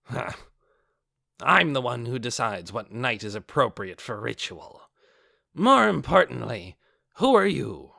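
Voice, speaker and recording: A man's voice: mid-range voice, sing-song, unhurried, creaky, sharp tone, no echo, clean studio sound, exaggerated voice. A man voicing a haughty, harsh male necromancer who leads a cult and speaks imperiously, in a fantasy audiobook. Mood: indignant, demanding. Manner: imperious, demanding.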